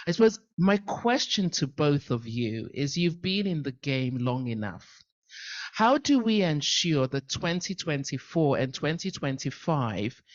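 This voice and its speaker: A man at a policy roundtable with a loud voice, speaking forthrightly and stressing his points by repeating them. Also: a little high, muffled recording. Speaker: panel participant.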